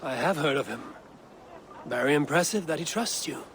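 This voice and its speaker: An actor playing a stealthy, smooth-talking adventurer in a video game, with a measured, understated manner. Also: male voice, fairly high pitch, animated. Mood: smooth, coaxing. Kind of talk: video game dialogue acting. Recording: loud background ambient noise.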